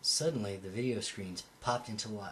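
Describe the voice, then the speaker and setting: A man's voice: lowish voice, moderate pace. A man reading a novel aloud as an amateur, sometimes stumbling over a word and correcting himself, on a home recording. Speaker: amateur audiobook reader. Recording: home recording with faint background noise.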